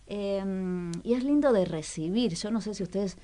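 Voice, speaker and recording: A woman's voice: medium pitch, measured pace, dark tone. A woman with a Rioplatense Argentine accent presenting on a radio show in a casual, explanatory way, often checking in with listeners. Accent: Rioplatense Argentine Spanish.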